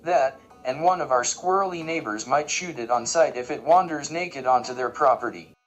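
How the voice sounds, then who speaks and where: Man as a deadpan, formal sci-fi aide. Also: medium pitch, moderate pace, dull audio, soft background music, lightly acted. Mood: matter-of-fact. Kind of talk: sci-fi comedy audio drama acting.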